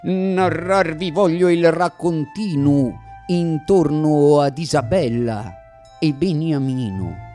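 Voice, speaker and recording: A bombastic variety-theatre comedian of the early twentieth century, full of absurd boasts. The voice sits high for a man and declaims with mock solemnity, toying theatrically with every phrase. Faint music accompanies this radio theatre monologue. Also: a little mellow. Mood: singsong, mock-solemn. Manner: declamatory, grandiose, mock-solemn, playing with drawn-out and rattled phrases. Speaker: stage actor.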